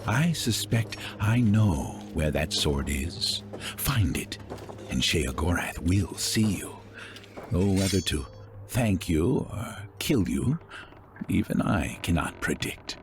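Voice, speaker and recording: An actor playing a solemn, ancient keeper of records in a fantasy game, measured and cryptic. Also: male voice, deep voice, very animated, noisy background, heavily acted.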